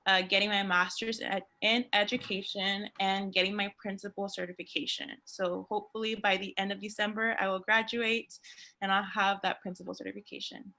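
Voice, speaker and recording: A woman's voice: mid-range voice, level tone, fairly quick, sharp tone. A woman introducing herself informally on a video call. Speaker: graduate student on a panel.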